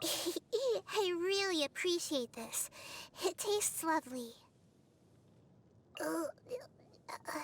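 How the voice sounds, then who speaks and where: A woman voicing a gentle, curious, wise young child goddess in an English-dubbed anime game, with a light girlish voice and a soft, thoughtful, earnest manner. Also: very high-pitched. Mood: grateful, then hesitant. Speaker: video game voice actor.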